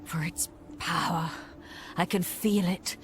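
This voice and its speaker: A woman's voice: fairly low pitch, fairly even intonation, takes it slowly, slight background noise. An actress playing a defiant adventurer woman drawn to power in a video game cutscene. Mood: possessive.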